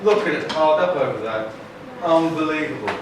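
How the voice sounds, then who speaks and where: A man's voice: fairly high pitch, animated, a little fast, pitched below the performer's own voice, close to an everyday voice. A man voicing a grumpy, pompous old dragon in a live puppet show, indignant and chatty. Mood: pompous grumbling. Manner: indignant, pompous, chatty British grandpa phrasing. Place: large room, live audience puppet show. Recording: echoey hall, far from mic, noisy.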